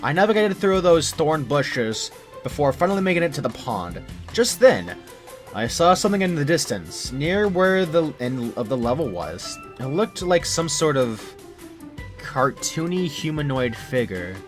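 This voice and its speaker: A man's voice: on the high side, big pitch swings, unhurried. A man reading a scripted first-person creepypasta story as its narrator. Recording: music under the narration.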